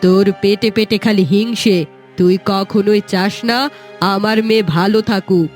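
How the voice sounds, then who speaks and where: Woman playing a scheming, sharp-tongued cartoon mother. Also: mid-range voice, fairly even intonation, normal speed, soft background music, natural voice. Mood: scornful, scheming. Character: greedy, scheming, spiteful village mother. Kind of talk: Bengali fairy-tale cartoon acting.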